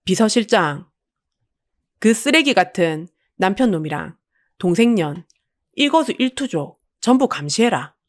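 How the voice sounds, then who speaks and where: A woman voicing a gruff, intimidating big man with a Busan accent, a blunt and commanding boss in an audio drama. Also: mid-range voice, close to an everyday voice.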